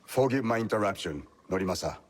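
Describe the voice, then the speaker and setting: Husky middle-aged samurai vet, stern, courtly, formal, video game scene. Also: male voice, lowish voice, lively intonation, fast talker. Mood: grave, courteous. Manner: formal, authoritative. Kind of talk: dramatic video game acting.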